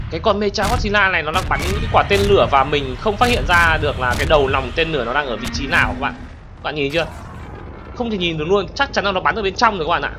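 A young man commentating a monster battle video game for his channel, excitable and constantly talking to his viewers. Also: sits high. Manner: excitable, exclaims often, addresses viewers constantly. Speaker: gaming channel host. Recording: game battle noise behind voice. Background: explosions and gunfire from game.

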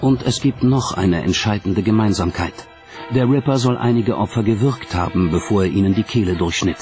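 A man narrates a documentary from a script. His voice sits fairly low and his delivery is measured and dramatic. Music plays clearly behind him. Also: darkish tone.

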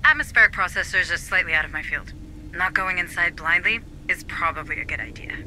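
A man's voice: high voice, expressive, a little fast, pushed and bright, heavily acted, poor audio. A man playing a serious tech officer in a video game, briefing marines in a matter-of-fact way. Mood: focused, helpful. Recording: faint background noise.

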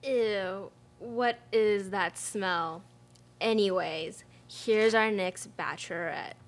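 A woman plays a weary announcer at a dating event in a radio comedy. She speaks slowly at a middling pitch. Her delivery is dry and sardonic. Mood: dry, announcing.

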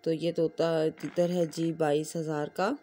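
A woman's voice: low voice, flat delivery, a little slow, warm tone, soft background music. A woman with a Pakistani accent giving a casual, chatty vlog voice-over, explaining everyday family life in run-on storytelling. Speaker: family vlogger narrating her day.

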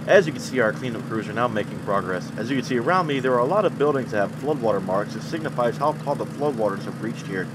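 A man's voice: mid-range voice, expressive, fast talker, hardly any pauses, close to an everyday voice. A man playing a concerned on-scene television field reporter giving a live report. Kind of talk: live news report acting. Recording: loud outdoor street and vehicle noise.

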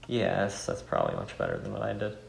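Creaky-voiced man commenting on a game. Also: on the low side, wildly swooping pitch, fairly quick. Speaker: game playthrough host. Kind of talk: casual gameplay commentary. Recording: roomy with faint music.

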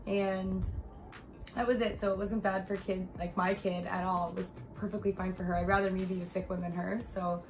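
Woman in her thirties, congested and stuffy, rambling casual vlog to phone in a living room; plain mid-pitched voice. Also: level tone, a little fast, soft background music, low-quality recording. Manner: rambling, many fillers. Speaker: vlogger. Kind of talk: casual chat to camera. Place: bright living room, on a sofa.

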